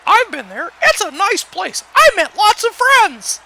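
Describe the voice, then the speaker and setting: Squeaky, childlike little teddy bear. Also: male voice, sing-song, measured pace, vocal fry, pushed and bright, a little hiss or hum, exaggerated voice. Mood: friendly, innocent. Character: small cute teddy bear wrestler, mild and friendly. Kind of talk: cartoon wrestling voice acting.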